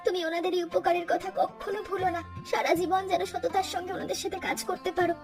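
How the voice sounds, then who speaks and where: A woman's voice: very high voice, level tone, a little fast. A woman voicing a devoted, earnest wife of a poor villager in a cartoon. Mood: earnest, caring. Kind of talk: dubbed cartoon dialogue. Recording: music under the voice.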